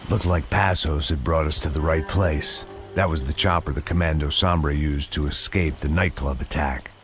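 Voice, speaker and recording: An actor with an American accent playing a middle-aged, cynical, deadpan, self-loathing hard-boiled ex-cop in a noir video game. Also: male voice, extremely low pitch, normal speed, slight background noise. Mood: bitter, brooding. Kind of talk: noir game narration and dramatic dialogue. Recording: dramatic music behind.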